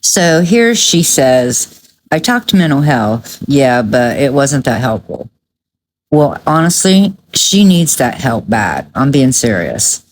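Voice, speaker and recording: Female true crime commentator, low register, blunt and emphatic, opinionated, with clear background noise. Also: sing-song, slow.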